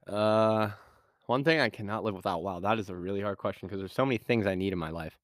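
Male podcast host, low-pitched, scratchy from a cold, casual rambling delivery, dry studio sound. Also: quick pace, no room sound. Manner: casual, rambling, with frequent fillers and restarts. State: scratchy voice from a sinus infection. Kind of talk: solo podcast Q&A.

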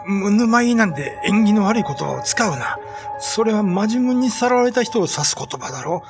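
A man playing an uneasy, gruff villager in a search party in a radio drama. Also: high voice, lively intonation, fairly quick. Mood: uneasy, scolding. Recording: voice over loud background music.

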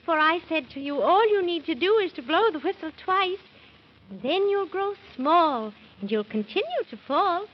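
Very high-voiced storybook queen. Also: animated, normal speed. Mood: kindly reassurance. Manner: sing-song rhyming, regal. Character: kindly, helpful fairytale queen who speaks in rhyme. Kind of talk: 1930s children's radio acting. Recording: muffled 1930s radio recording.

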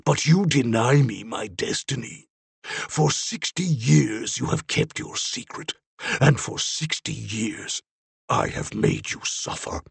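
A man's voice: mid-range voice, big pitch swings, slow pace, creaky, breathy edge, no room sound, exaggerated voice. A man voicing a menacing, faceless monster who declaims in a grandiose, ominous manner. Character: menacing faceless shelled monster, reshaper of time and space.

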